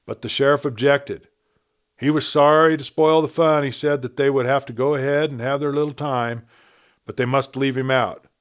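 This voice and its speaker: A man's voice: mid-range voice, steady tone, moderate pace, clean tone. A man reading a western story aloud as a scripted narrator. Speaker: audiobook narrator.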